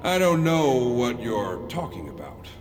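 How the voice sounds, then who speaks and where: An actor playing an aloof, cryptic film star who stays in vampire character, portentous and deadpan, in an audio drama. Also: male voice, medium pitch, measured pace. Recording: reverberant hall, faint noise.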